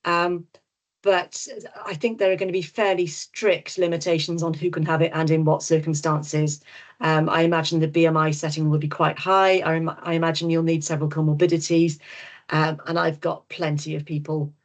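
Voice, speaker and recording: A middle-aged Welsh woman answers webinar questions with a lowish voice. She is frank and reassuring, and her talk is full of ums and repeated words. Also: little pitch movement, normal speed. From Wales. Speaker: GP and nutritionist guest speaker.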